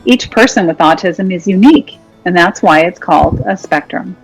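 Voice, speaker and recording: Lower-pitched woman reading a picture book. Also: expressive, normal speed, gravelly, slightly rough, rough recording. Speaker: reader. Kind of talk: read-aloud of a children's picture book. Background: soft music.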